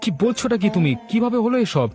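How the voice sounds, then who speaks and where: A man's voice: extremely high pitch, lively intonation, fast talker, over music. A man voicing a concerned, indignant village husband in a moral cartoon, speaking emphatically and exclaiming. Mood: alarmed. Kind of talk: Bengali moral cartoon dialogue.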